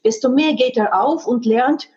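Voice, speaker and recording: A woman's voice: on the high side, normal speed. Frank, muffled woman speaking a second language. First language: not German. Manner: frank, emphatic, with earthy expletives. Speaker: guest, sex educator and hypnotherapist. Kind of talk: candid podcast conversation. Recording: dull, muffled audio.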